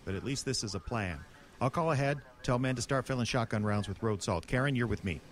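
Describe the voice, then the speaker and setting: A man's voice: medium pitch, fast talker, close to an everyday voice. A man acting a blunt, decisive small-town lawman leading a monster hunt in a horror audio drama, speaking in a dry, clipped way. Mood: grim, decisive.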